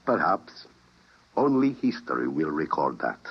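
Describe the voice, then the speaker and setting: A man acting a grave older council president and former scientist, formal and deliberate, in a 1950s radio drama. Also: a bass voice, sing-song, creaky, husky, heavily acted. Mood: calmly resolute. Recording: muffled old radio recording.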